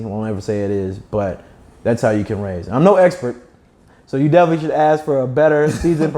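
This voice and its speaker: Mellow young man with a slight lisp. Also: medium pitch. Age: young adult. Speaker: guest, startup founder. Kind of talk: animated conversational storytelling and advice.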